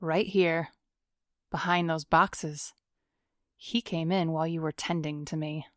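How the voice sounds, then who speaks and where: A woman's voice: low voice, steady tone, a little slow, fairly bright tone, no room sound, studio quality. A woman acting a cool, commanding, glamorous vigilante in a pulp radio drama, stern and self-assured with clipped delivery. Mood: cool, confrontational.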